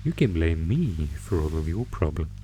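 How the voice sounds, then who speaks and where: A man playing a sadistic monster who taunts captive prey with theatrical, drawn-out menace in an ASMR horror audio roleplay. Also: rumbling low, sing-song, warm tone, a little hiss or hum. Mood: menacing, gloating.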